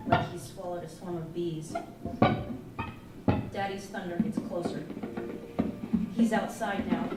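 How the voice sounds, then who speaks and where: A woman's voice: fairly low pitch, very noisy. Woman reading a story in heavy echo. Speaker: reader performing a novel. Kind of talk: dramatic first-person story reading. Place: live performance in an arts venue. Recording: very echoey hall, far from mic, loud guitar. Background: loud plucked guitar music.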